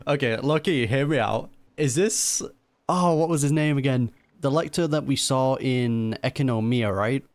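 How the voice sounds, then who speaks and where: Chatty gaming streamer, mid-pitched voice. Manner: chatty, musing. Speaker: streamer reacting to a game. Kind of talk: casual gaming commentary.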